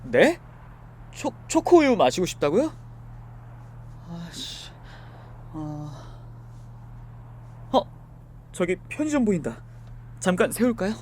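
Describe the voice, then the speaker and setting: A young man voicing a kind, soft-spoken driver in an ASMR audio drama, talking gently and comfortingly. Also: high-pitched, very animated, slow pace, long pauses, slight background noise, heavily acted. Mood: gentle, comforting.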